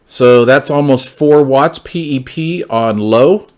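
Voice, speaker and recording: A man's voice: medium pitch, slow pace, a little mellow. Casual man giving a hands-on gear review.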